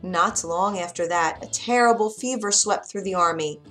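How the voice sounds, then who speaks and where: A woman narrating a children's bedtime story with a clear, expressive reading. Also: lowish voice, normal speed, faint music behind.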